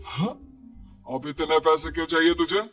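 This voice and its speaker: An exasperated middle-aged dad acted by a man in a cartoon moral tale. His voice sits high and he nags and scolds sternly over background music. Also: level tone, normal speed, fairly bright tone, lightly acted. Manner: nagging, scolding. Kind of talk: Hindi cartoon moral story acting. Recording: music under the voice.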